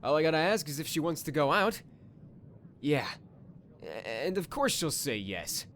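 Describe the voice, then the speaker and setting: A man's voice: on the high side, big pitch swings, moderate pace, a bit bright, a little hiss or hum. A man playing a nervous, lovestruck young space cadet in an anime parody, stammering and awkward. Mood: anxious self-encouragement.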